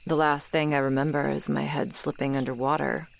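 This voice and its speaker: A woman playing a gruff, lonely swamp monster girl who was once human, in a grudging, guarded and intimate ASMR roleplay delivery. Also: deep voice, flat delivery, brisk, a little hiss or hum. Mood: wistful, sad. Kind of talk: ASMR roleplay, acted monologue to the listener. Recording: swamp ambience of crickets and frogs. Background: insects and frogs, swamp night ambience.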